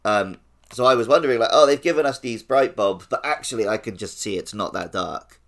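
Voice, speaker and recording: A man's voice: lowish voice, brisk, fairly bright tone. A man speaking casually and informally as a podcast co-host in a gaming discussion.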